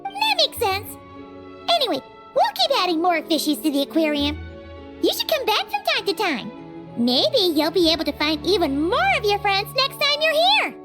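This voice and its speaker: A woman voicing a tiny, bubbly childlike fairy companion in an anime video game, with a squeaky, perky delivery. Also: wildly swooping pitch, moderate pace, full character voice. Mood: cheerful, curious. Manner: perky, speaks of self in third person. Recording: music behind.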